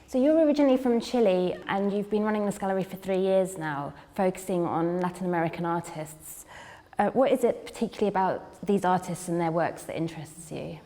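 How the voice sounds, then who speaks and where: Woman interviewer with a warm, echoing voice. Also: fairly low pitch. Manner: brief, measured questions and soft backchannels. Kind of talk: interview questions.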